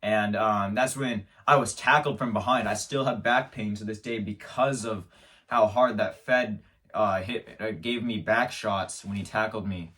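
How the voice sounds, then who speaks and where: A man's voice: fairly low pitch, fairly even intonation, unhurried, a bit bright, far from the mic. A man hosting his own show, telling an absurd comic story in a rambling, mock-serious way, stumbling over restarts. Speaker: show host. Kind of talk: absurd comedic monologue.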